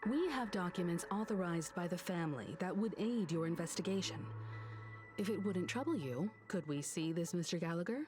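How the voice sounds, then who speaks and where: Young female game traveller, acted: polite, composed, formal, on the lower side, clear background music. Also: animated, lightly acted. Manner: courteous, measured, formal. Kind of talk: video game voice acting.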